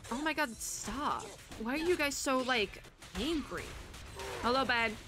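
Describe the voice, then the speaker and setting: A woman's voice: sits high, animated, unhurried, music in the background. A young woman casually talking through a video game, chatty and rambling, with a stuffy nose. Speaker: streamer. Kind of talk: casual gameplay commentary.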